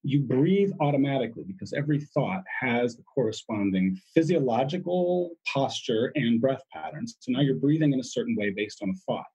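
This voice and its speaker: A man's voice: medium pitch, expressive, fairly quick, few pauses, mellow, breathy edge, poor audio. A man speaking as an interview guest, explaining in an emphatic way and often repeating his key words.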